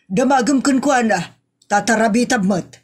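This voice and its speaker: A woman's voice: on the high side, normal speed, noisy background. A woman playing a grumbling, defensive aunt in a comedy audio drama.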